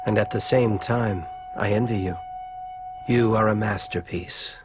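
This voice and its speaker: A man playing a solemn, melancholy philosopher in a grave, measured video game monologue. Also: lowish voice, steady tone, close to an everyday voice. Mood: reverent, sorrowful. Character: solemn, melancholy philosophical man addressing his beloved masterpiece. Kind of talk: dubbed video game cutscene acting. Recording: music behind the voice.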